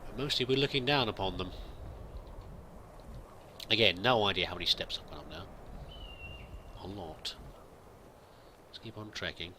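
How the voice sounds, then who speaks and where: A man with a slightly deep but bright, forward voice narrates his roleplay playthrough of a game. He thinks out loud in character and mutters to himself. Faint game ambience sits behind his voice. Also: moderate pace, pauses often, slight background noise. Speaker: host of a roleplay let's play. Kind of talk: in-character game commentary, musing aloud.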